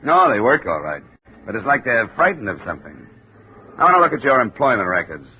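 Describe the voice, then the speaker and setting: An actor playing a hard-boiled investigator who narrates and talks in a dry, wry way in a 1950s radio drama. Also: male voice, medium pitch, sing-song, fairly quick, lightly acted. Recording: dull old radio broadcast with hiss.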